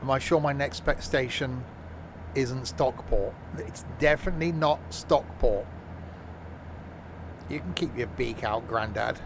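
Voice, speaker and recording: A man's voice: medium pitch, unhurried, long pauses, noisy background. Jokey man rambling through gameplay. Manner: rambling, jokey, chats with live viewers; frequent ums and self-corrections. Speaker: streamer. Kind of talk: casual gameplay commentary.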